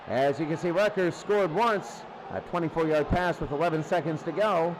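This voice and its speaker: Guy with a pretty high voice calling a football game, informal, noisy background. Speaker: play-by-play football commentator. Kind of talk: live sports commentary. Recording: clearly noisy game broadcast.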